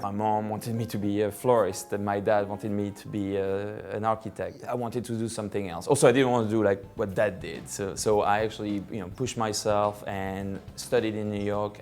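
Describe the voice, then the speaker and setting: A middle-aged man with a French accent talks casually from his living room, circling back and restarting his sentences. His voice sits a little low, and soft music plays faintly underneath him. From France. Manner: rambling, many fillers and restarts. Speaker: film director. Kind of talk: casual personal storytelling.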